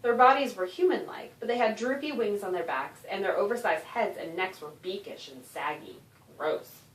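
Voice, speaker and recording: Animated woman narrator in an echoey room. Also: mid-range voice, normal speed, slight background noise. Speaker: author reading aloud. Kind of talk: expressive children's audiobook narration. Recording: roomy echo, distant microphone.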